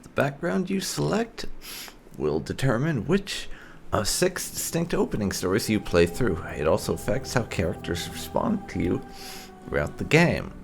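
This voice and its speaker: A man's voice: fairly low pitch, sing-song, normal speed, creaky, soft background music. A man hosting a gaming video with casual, explanatory playthrough commentary, wandering into emphatic asides.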